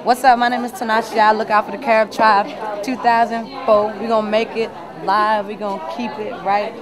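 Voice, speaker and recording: Boastful young woman amid loud crowd chatter. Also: fairly high pitch, little pitch movement, brisk. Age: young adult. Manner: slangy, boastful. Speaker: music video performer. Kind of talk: casual on-camera interview. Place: large indoor party venue video set. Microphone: handheld camera close by.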